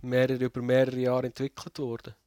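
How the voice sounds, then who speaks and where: Halting man reviewing games. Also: medium pitch, monotone, mellow, no room sound, clean studio sound. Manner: thoughtful, halting, many restarts. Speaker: video game reviewer, co-host. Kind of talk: casual game review chat.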